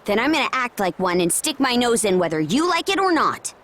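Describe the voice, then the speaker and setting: An actress playing a brash, loyal young warrior princess in a video game, with a casual, blunt, commanding manner. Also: female voice, on the high side, very animated, fairly quick, fairly bright tone. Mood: defiant, stern. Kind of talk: English video game voice acting.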